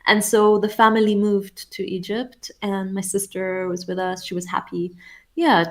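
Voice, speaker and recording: Soft-spoken reflective woman guest. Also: medium pitch, level tone, unhurried. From England. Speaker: interview guest, shamanic teacher. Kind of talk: casual interview conversation.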